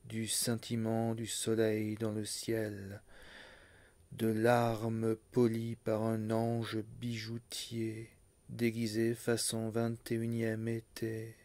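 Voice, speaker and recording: Slow, even-toned man reciting poetry. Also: fairly low pitch. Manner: measured, deliberate phrasing with pauses between short phrases. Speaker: poetry reader.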